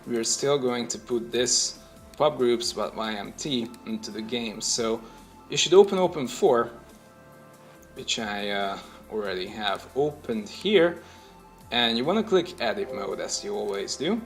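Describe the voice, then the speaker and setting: A man narrating a casual software tutorial, explaining things step by step as the host. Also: medium pitch, animated, measured pace, faint music behind.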